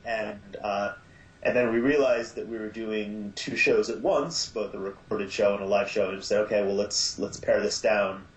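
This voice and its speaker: A man being interviewed as producer and director of an audio drama, talking in a halting way with many fillers and restarts. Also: on the low side, steady tone. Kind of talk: casual podcast interview. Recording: echoey, dull lo-fi sound.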